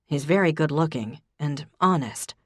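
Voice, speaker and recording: A woman's voice: deep voice, lively intonation, normal speed, dry recording, clean studio sound. A woman reading a hesitant young man.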